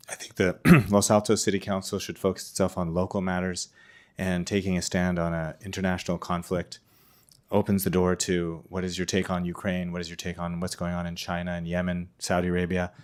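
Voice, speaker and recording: A man giving a calm, matter-of-fact public comment at a council meeting. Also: deep voice.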